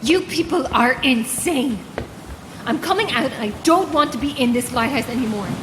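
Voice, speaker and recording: A woman playing a guilt-ridden, lonely lighthouse keeper in a horror audio drama, speaking in a halting, raw, confessional way. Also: high voice, background noise, close to an everyday voice. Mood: frantic defiance. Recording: long reverberant echo.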